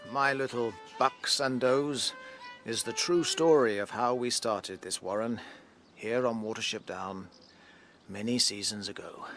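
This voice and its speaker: A man playing an aged, kindly, wise rabbit chief in a radio drama, speaking in a fatherly, measured way. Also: mid-range voice, a bit bright.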